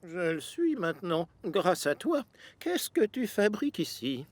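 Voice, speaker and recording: A man's voice: high-pitched, big pitch swings, unhurried, heavily acted. A proud, grumpy big male locomotive from a children's cartoon. He grumbles drily, and his mid-range voice rises and falls expressively. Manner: dry and grumbling. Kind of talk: French cartoon dub.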